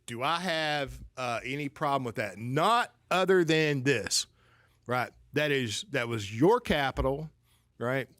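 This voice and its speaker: A man's voice: medium pitch, expressive, slow, a bit bright, no room sound. Rambling Southern man, dry sound. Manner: rambling, restarts sentences, asks "right?" often. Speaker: financial advisor, guest. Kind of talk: casual conversation.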